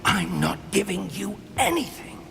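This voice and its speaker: An actor playing a learned wizard professor in a video game, earnest and protective, with formal, deliberate delivery. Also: male voice, high voice, big pitch swings, gravelly, a bit bright, slight background noise, full character voice. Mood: defiant. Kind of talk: video game cutscene acting.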